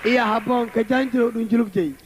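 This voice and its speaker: High-voiced man reeling off joke names. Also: fairly even intonation, quick pace, background noise. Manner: reeling off silly nicknames. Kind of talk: comic list reading.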